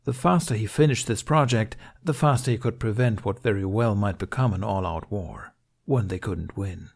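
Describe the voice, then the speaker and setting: A man reading scripted fiction aloud as an audiobook narrator, in a measured storytelling manner. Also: on the low side, creaky, a little mellow.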